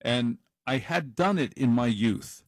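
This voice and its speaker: A man's voice: mid-range voice, expressive, measured pace, no echo. A middle-aged man hosting an overnight call-in radio show, talking in a rambling, chatty, self-deprecating way into a studio microphone. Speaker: late-night radio talk show host. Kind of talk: casual monologue on call-in radio.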